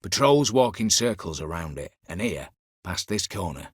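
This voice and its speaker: An actor playing a shrewd, vengeful heist mastermind in a video game, speaking in a clipped, commanding way with archaic turns of phrase. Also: male voice, low-pitched, big pitch swings, normal speed, husky, no echo, clean studio sound, heavily acted. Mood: brisk, commanding. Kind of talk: dramatic video game voice acting.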